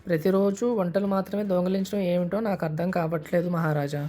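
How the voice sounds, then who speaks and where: A woman's voice: lowish voice, fairly even intonation, quick pace, dark tone, natural voice. A woman voicing a loyal male royal minister in a cartoon, formal and respectful. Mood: puzzled, reporting. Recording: music under the voice.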